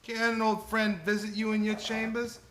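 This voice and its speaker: An actor playing a shrewd, insistent political adviser warning a friend in a radio play. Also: male voice, high-pitched, monotone, moderate pace, sharp tone, slight background noise. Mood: urgent, wheedling. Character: shrewd, pushy political crony of a judge. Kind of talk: stage-like radio play acting.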